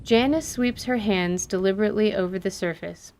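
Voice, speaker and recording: A woman's voice: fairly quick, a little mellow. A woman reading scripted story narration as the narrator of an audio drama. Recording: noisy recording with low rumble.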